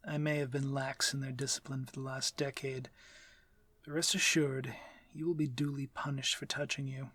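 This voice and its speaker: A man playing a courteous, protective, commanding vampire lord in an intimate ASMR audio drama, speaking softly in measured, formal phrases that often turn whispery. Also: medium pitch, level tone, no room sound, close to an everyday voice. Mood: concerned, reassuring.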